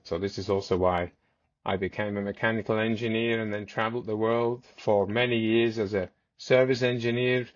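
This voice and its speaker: A man's voice: mid-range voice, dry recording, muffled recording. A man in his forties, raised in South Africa and a native Swiss German speaker, telling stories as a podcast guest, rambling with many restarts. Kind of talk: casual storytelling.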